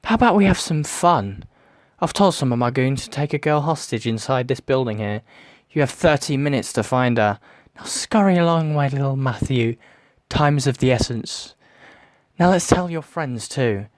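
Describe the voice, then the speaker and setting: A man performs a sadistic villain of the shadows for an audio drama. He taunts and gloats in a grandiose, theatrical way, at a middle pitch, in a very dry, close recording. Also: animated, normal speed, no echo, close to an everyday voice. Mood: gloating menace.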